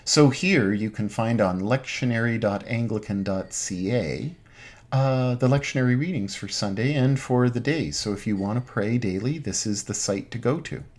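A middle-aged man, an Anglican priest, giving an informal online sermon from his home den in measured, halting phrases. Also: medium pitch. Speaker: Anglican priest, parish pastor. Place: small home den with bookshelves.

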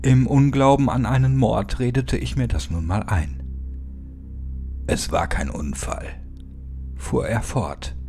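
A man reading a scripted horror story as an audiobook narrator, measured and suspenseful, with dramatic pauses. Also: mid-range voice, mellow. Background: music.

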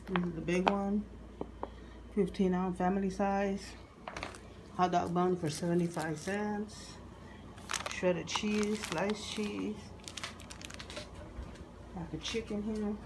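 A woman vlogger showing off her grocery haul in her home kitchen, listing items one by one with halting restarts, filming on a handheld phone. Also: fairly low pitch, background noise. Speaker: couponing vlogger. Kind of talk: casual grocery haul show-and-tell. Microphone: handheld phone camera filming the table.

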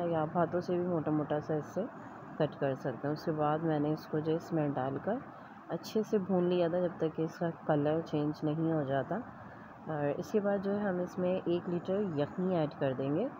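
Low-voiced woman narrating a recipe. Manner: explanatory, step-by-step instruction. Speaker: host of a home cooking vlog. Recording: dull lo-fi audio with clear background noise.

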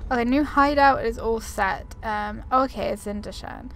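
A woman's voice: on the high side, normal speed, a bit bright, slight background noise. A woman giving casual, chatty commentary on a game, informal and a little apologetic, sometimes reading its text aloud. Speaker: gaming video creator.

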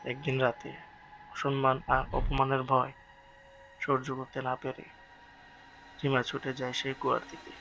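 Male storyteller, creaky forceful voice, mid-pitched, suspenseful ghost story narration. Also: completely flat delivery, measured pace, pauses often, soft background music, low-quality recording. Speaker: horror story narrator and channel host.

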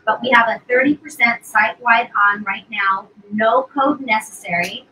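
A woman in her fifties pitching skincare on a live stream, chatty, with plenty of fillers and restarts. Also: normal speed, pushed and bright. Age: 50s. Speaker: co-host of a live sales stream. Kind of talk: casual live product pitch. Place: indoor studio set at a table. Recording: distant mic with faint hiss.

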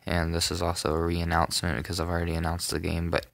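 A young man narrating a casual phone tutorial, rambling, with many ums and self-corrections, in a soft voice. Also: low voice, monotone, fast, gravelly, dry recording. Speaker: tutorial maker. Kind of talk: casual screen-recorded tutorial.

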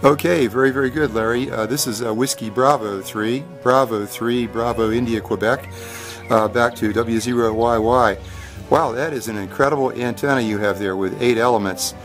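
A man's voice: mid-range voice, moderate pace, soft background music. An American man chatting casually and courteously as an amateur radio operator. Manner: friendly, courteous. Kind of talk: casual ham radio contact.

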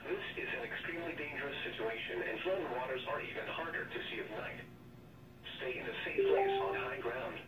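A synthetic man's voice reads a scripted emergency weather alert in a flat, formal, measured way through a weather radio speaker. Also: medium pitch. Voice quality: synthetic text-to-speech voice. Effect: heard through a weather radio speaker. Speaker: automated weather radio announcer. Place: weather radios playing on a table in a small room. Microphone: camera picking up radio speakers. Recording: roomy, distant, noisy radio playback.

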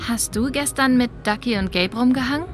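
A woman's voice: fairly high pitch, a little fast, over music, natural voice. A young woman playing a friendly, light-hearted bar worker in a video game, in natural acting.

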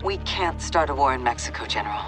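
An actor playing a serious intelligence officer in a military game briefing, measured, urgent and matter-of-fact. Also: male voice, mid-range voice, animated, music in the background, close to an everyday voice.

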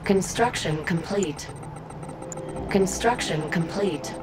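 A woman voicing a calm battle computer in a strategy game, giving clipped, measured status announcements. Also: fairly low pitch, noisy background. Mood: impersonal, calm. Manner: measured, impersonal. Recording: loud game music underneath. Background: loud music and game battle sounds.

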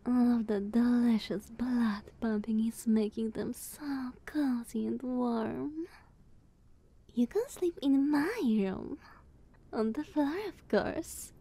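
A woman playing a playful, teasing younger vampire sister in ASMR roleplay, sultry and breathy, often near a whisper, coy and purring. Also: fairly high pitch, slow pace, heavily acted. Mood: coy, possessive. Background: soft ambience.

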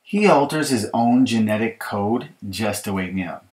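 A man's voice: fairly low pitch, lively intonation, normal speed, a little mellow, lightly acted. A man reading a children's picture book as an exasperated young girl complaining about her bratty little brother, emphatic and mock-aggrieved. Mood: dramatic complaint. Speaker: author reading his audiobook.

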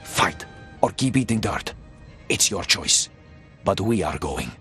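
An actor playing a weary, defiant old slave king in a video game, measured and persuasive. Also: low voice, big pitch swings, bright, forceful tone, faint music behind, heavily acted. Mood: urgent persuasion. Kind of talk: dramatic game acting.

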